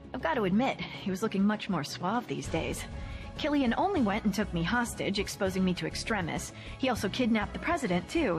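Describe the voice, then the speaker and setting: A woman's voice: mid-range voice, lightly acted. An actress playing a chatty woman who narrates game cutscenes with wry, joking asides. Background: music.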